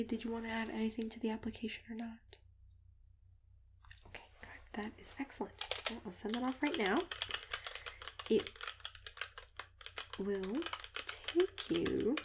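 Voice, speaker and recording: A woman speaking softly and breathily, often near a whisper, in a gentle, reassuring ASMR roleplay as a friendly matchmaker. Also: on the high side, noisy background. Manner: gentle, reassuring, unhurried customer-service patter.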